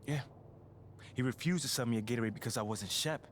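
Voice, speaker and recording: A man's voice: medium pitch, fast, a crowd in the background, lightly acted. A man playing a proud, slangy young hoops star. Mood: defiant. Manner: emphatic, slangy. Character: young male basketball star, proud and defiant.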